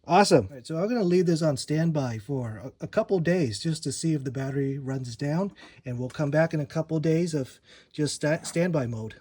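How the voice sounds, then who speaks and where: A man's voice: a little high, a little fast, darkish tone, slightly rough. A man giving a casual gear review in an informal, explanatory way, as a vlogging product reviewer.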